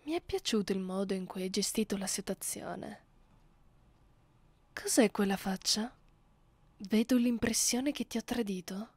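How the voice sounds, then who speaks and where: A woman playing a haughty, seductive vampire mistress in an ASMR roleplay, speaking softly and condescendingly, close to the microphone, with an Italian accent. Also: medium pitch, breathy edge, no echo. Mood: dominant, chiding. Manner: slow, condescending, teasing, often dropping into whispers. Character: haughty, seductive female vampire mistress, commanding her captive. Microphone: close studio microphone.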